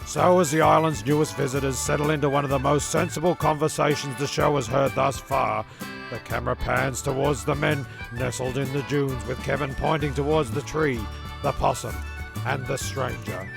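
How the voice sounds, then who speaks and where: Very creaky male comedy narrator. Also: medium pitch, fairly even intonation, normal speed, music in the background. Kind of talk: comic scripted narration.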